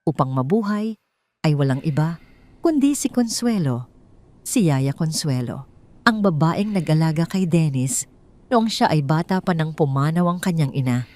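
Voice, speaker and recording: A Filipino woman narrates an audio drama in a somewhat low voice. Her delivery is solemn and scripted. She sets out the story in deliberate phrases, pausing briefly for dramatic weight. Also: animated, a little mellow.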